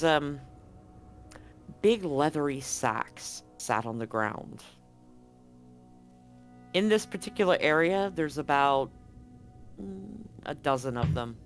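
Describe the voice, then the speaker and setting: A man narrating a tabletop horror game as game master, in a relaxed, descriptive way. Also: fairly high pitch.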